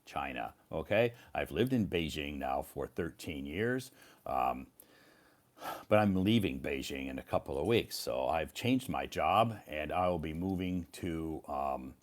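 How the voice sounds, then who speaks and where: A middle-aged man from Iowa, live-streaming a casual chat with English learners, speaking slowly and repeating words clearly. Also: very deep voice, expressive. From Iowa, United States. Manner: slow, repetitive, clearly enunciated for learners.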